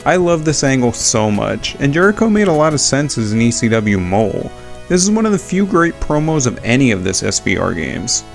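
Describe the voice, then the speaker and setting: Male video essay narrator, casual sweary opinionated fan talk, medium pitch, music bed behind. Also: sing-song, a little fast, gravelly. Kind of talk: casual retrospective video commentary.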